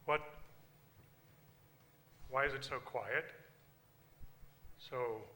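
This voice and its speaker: An actor playing a frightened, repentant old miser in a staged radio play, halting, stammering and pleading theatrically. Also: male voice, mid-range voice, pushed and bright. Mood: anguished. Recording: live stage recording, faint noise.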